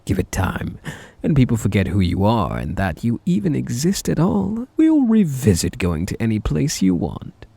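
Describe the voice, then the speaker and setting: A man playing an obsessive, sweetly menacing stalker groom in an ASMR audio drama, breathy and doting with a threat underneath. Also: medium pitch, wildly swooping pitch, normal speed, warm tone, no room sound, exaggerated voice. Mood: coaxing, controlling. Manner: doting endearments laced with menace. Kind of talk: ASMR roleplay audio drama, addressing the listener.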